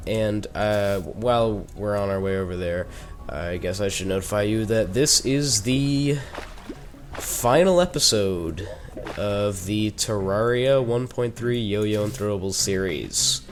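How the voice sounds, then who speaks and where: Teen male gaming commentator, head cold, lowish voice, loose and jokey. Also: takes it slowly, faint music behind. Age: teens. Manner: chatty and rambling, with joking asides. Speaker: gaming YouTuber. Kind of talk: casual gameplay commentary.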